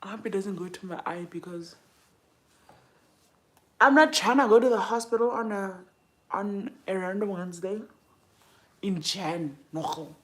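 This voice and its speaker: A woman's voice: medium pitch, measured pace, long pauses. A young woman with a South African accent chatting casually and informally to livestream viewers, thinking aloud and trailing off mid-thought. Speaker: livestream host. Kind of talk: casual chat while doing makeup.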